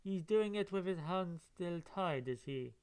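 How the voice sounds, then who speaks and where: Male reader-reviewer, smooth clear voice, casual and rambling, thinking out loud as he goes. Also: high-pitched, expressive, a little slow. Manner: musing, rambling asides. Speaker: comic book reader and reviewer. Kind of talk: casual read-along with running commentary.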